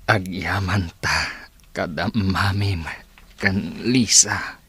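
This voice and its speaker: A man playing a frail, gentle older father in a radio drama, with halting, stammering speech. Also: low voice, husky, full character voice. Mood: forgiving, earnest. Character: frail, slow-spoken, gentle old father.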